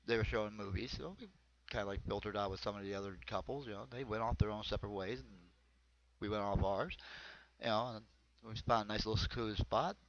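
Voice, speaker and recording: A man's voice: on the low side, quick pace. American man rambling through a comedy monologue. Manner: rambling, conversational storytelling. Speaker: amateur comedian. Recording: dull, lo-fi close dry recording.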